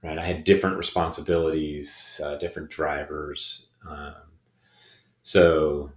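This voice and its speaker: A middle-aged man co-hosting a podcast, talking reflectively in casual conversation, with many pauses and restarts. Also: a bass voice, level tone. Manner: hesitant, many ums and restarts. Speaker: podcast co-host. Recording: echoey room.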